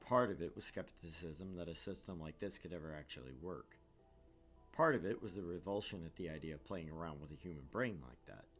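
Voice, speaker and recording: A man hosting a stream, playful and chatty, moving between casual talk and narrating a visual novel. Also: sits low. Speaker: streamer, co-host.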